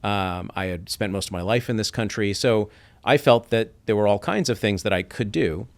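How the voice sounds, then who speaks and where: A man's voice: on the low side, normal speed. A middle-aged man from Iran, a podcast guest, telling his story in a thoughtful, reflective way and acting out quoted voices. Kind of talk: reflective interview talk.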